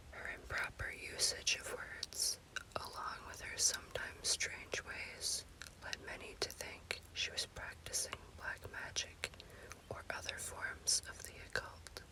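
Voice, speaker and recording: A woman narrates a scary story as ASMR in an unvoiced, breathy whisper, in deliberate short phrases. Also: pushed and bright, poor audio. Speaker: storyteller, host.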